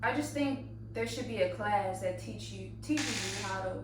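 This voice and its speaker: A woman's voice: medium pitch, moderate pace. A woman of thirty co-hosting a casual podcast chat, blunt and teasing. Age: 30s. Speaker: podcast co-host. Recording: very echoey room, distant mic, hum.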